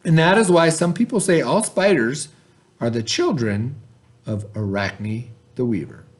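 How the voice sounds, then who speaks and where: A man's voice: medium pitch, expressive, measured pace. A man teaching children, reading a story aloud and explaining it informally, with questions to his pupils. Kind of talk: children's read-aloud and lesson.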